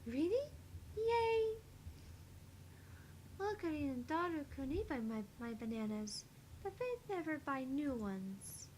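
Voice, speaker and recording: A very high, bubbly little voice for a sweet teenage girl in a visual novel game. She is naive and cutesy, with a lilting sing-song delivery that swoops around. A little noise is audible. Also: very animated, slow, pauses often, a little mellow, heavily acted, rough recording. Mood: delighted.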